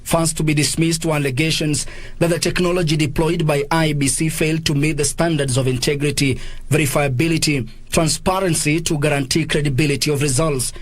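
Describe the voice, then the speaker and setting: A man's voice: fairly high pitch, steady tone, a little fast, no echo. A man with a Kenyan accent narrating a scripted news report as a reporter.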